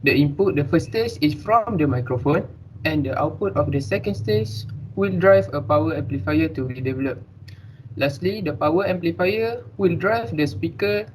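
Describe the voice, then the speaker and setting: A man's voice: fairly high pitch, animated, moderate pace, a little hiss or hum, poor audio. Young Malaysian man reading a presentation. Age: young adult. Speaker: student presenter. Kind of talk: scripted academic presentation.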